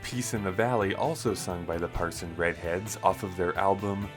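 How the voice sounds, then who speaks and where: A man with a notably low-pitched voice co-hosts a casual podcast. He talks in a loose, conversational way and likes to joke and tease. Music plays clearly behind his voice. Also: fairly even intonation, normal speed. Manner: joking, teasing. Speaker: podcast co-host.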